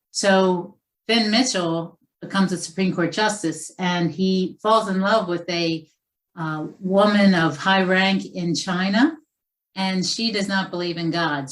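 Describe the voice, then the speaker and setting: A woman's voice: lowish voice, rough recording. A woman novelist being interviewed, talking in a chatty way with many fillers and restarts. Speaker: author guest. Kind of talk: conversational interview.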